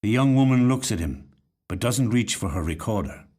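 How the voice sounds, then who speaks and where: A man reading a literary short story as scripted narration, with measured, dramatic, deliberate phrasing. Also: lowish voice, expressive, a little mellow. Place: recording studio.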